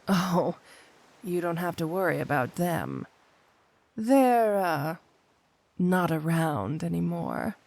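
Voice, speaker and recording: Slow, teasing supervillainess, acted by a woman. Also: fairly low pitch, darkish tone, no room sound. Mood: menacing, coaxing. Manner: coy, drawn-out, purring endearments with pointed hums. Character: flirty, teasing adult female supervillain cat burglar, possessive wife. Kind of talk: intimate ASMR roleplay addressed to the listener.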